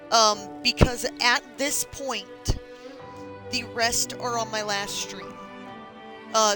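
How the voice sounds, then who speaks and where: A woman livestreamer giving casual commentary to her viewers. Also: a little high. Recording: music playing behind the voice.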